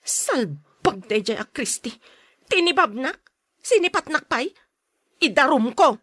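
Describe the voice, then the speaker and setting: A woman's voice: high voice, very animated, a little slow, fairly bright tone, no room sound, clean studio sound, full character voice. A woman acting a defiant, gossiping daughter in a radio drama, argumentative and whining. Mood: indignant. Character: defiant, gossiping daughter who insists she saw it.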